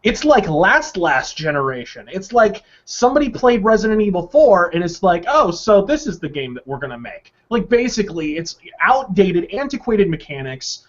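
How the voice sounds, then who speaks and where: High-pitched man, emphatic profane ranter. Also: sing-song, moderate pace, few pauses. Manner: sarcastic, exaggerating. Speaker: podcast panelist. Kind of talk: opinionated video game podcast talk. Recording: dull, lo-fi online audio.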